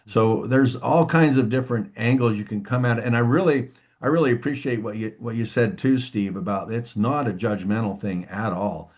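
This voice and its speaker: A man who is a guest on a podcast interview, speaking in a halting, reflective way with frequent restarts and "you know". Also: medium pitch, a little mellow. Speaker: musician guest. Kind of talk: interview conversation.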